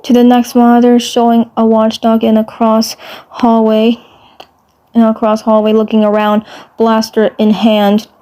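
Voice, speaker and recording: A woman reads out fan fiction as narrator. Her voice is on the high side and her pace is slow, with halting phrases that she often repeats. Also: completely flat delivery, dark tone. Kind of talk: storytelling narration.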